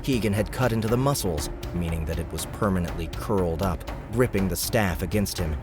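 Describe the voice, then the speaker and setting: A man reads an audiobook in a steady, measured narrating voice. His voice sits low, and clear music plays underneath throughout. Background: background music.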